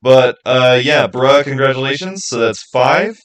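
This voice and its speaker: A man speaks as the host of a livestream, in a mid-pitched voice. His delivery is casual and unscripted. He addresses his viewers directly and thinks aloud as he goes. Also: animated, a little slow, fairly bright tone.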